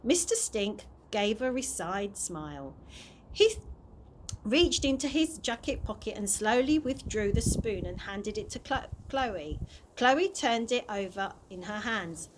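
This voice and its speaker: A woman's voice: mid-range voice, expressive, unhurried, slight background noise, poor audio. A woman narrating a children's chapter book aloud as a storyteller. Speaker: early years practitioner reading stories to children.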